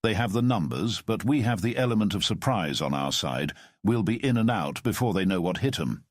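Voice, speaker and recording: A man voicing a calm, commanding middle-aged former spy who speaks in a measured, formal way. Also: fairly low pitch, no room sound, clean studio sound. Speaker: storyteller. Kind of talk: audio drama storytelling.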